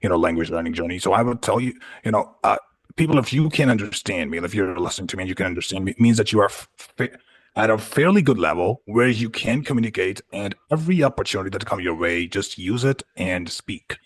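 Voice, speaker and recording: A man from Punjab with Urdu as his first language and a very low voice. He speaks in a relaxed, easygoing way, filling his sentences with "you know" and "like" as he shares tips with a class. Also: fast. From Punjab, Pakistan. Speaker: guest speaker. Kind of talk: online class talk.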